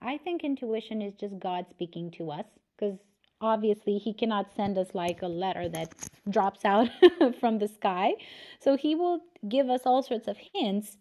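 A woman's voice: mid-range voice, expressive, unhurried, dark tone. A woman in her thirties from Romania, with a slightly American-sounding Romanian accent, speaking as a podcast guest in a chatty way and laughing often. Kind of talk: casual conversation. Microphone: remote audio room.

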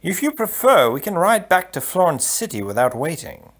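A man voicing a scheming, deferential count in a storybook, in a measured, deliberate way. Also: sits high, sing-song, a bit bright, voice pushed up, exaggerated voice. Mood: measured, sly. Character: smooth, scheming courtly count, deferential to his prince.

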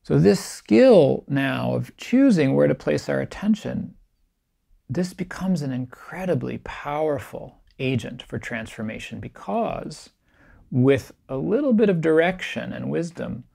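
A middle-aged man, a meditation teacher, giving a calm, reflective keynote talk to camera in a small, bright room. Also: fairly high pitch, a little slow, vocal fry. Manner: calm, reflective, gently emphatic. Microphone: camera framed at chest height, facing the speaker.

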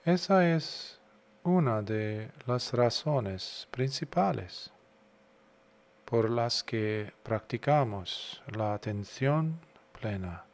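A man guiding a meditation as a monk would, unhurried, in short phrases separated by long pauses. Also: medium pitch, darkish tone. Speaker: Buddhist monk, meditation guide. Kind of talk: guided meditation talk.

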